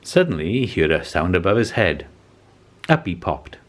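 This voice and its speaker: Man, low-pitched, animated, expressive children's story narration, deliberate phrasing. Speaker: storybook reader.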